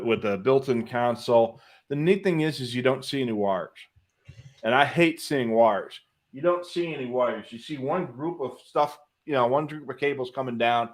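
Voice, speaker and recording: A man with a middle-pitched voice chats as co-host of a live hobby show. He is casual and opinionated, adding asides as he explains. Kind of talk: casual live-stream chat.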